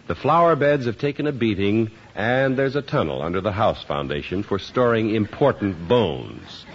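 A male radio announcer giving polished, booming scripted narration, on a dull 1950s broadcast recording. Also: lively intonation, moderate pace, a little hiss or hum.

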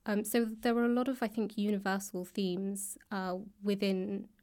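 A woman speaks as an interview guest in a conversational, thoughtful manner. Her mid-range voice stays quite even in pitch, and she pauses often as she works through her answers. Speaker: guest author and actor. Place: library room.

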